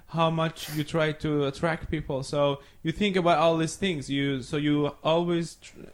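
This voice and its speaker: A young man from Iran whose first language is Farsi, a podcast guest talking casually and searching for his words in English. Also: on the high side. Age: young adult. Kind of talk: casual chat.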